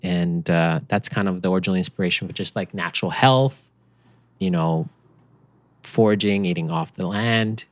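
Deep-voiced Finnish man, relaxed and rambling. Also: normal speed, gravelly, no echo. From Finland. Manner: relaxed, rambling with fillers and restarts. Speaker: company founder, podcast guest. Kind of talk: casual interview chat.

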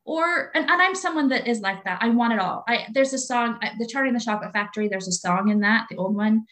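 Earnest woman in her late forties, higher voice. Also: quick pace, a bit bright. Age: 40s. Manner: earnest, heartfelt, repeats phrases. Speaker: guest. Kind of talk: faith-based conversation.

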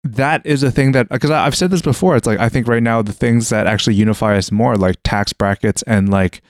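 A man's voice: medium pitch, brisk, dry recording, clean studio sound. A young man in casual conversation, talking through his thoughts hesitantly with many restarts and fillers. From Western world (Canada).

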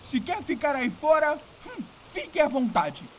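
A man's voice: very high voice, wildly swooping pitch, unhurried, fairly bright tone, a little hiss or hum, exaggerated voice. A man with a Brazilian accent playing a pompous, complaining, dramatic nobleman in a period audio drama, with rain behind him. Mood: fussy, outraged. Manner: theatrical, complaining, haughty. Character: pompous, whiny, dramatic young nobleman cousin.

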